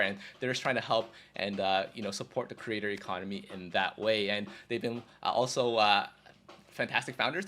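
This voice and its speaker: An upbeat man hosts a podcast over a video call, his voice sitting at an ordinary middle pitch. He talks in an eager, chatty flow, often tagging his sentences with little checks and fillers. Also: a bit bright, a little hiss or hum. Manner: upbeat, chatty, many fillers like "right?" and "you know". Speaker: podcast host. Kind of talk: enthusiastic interview introduction.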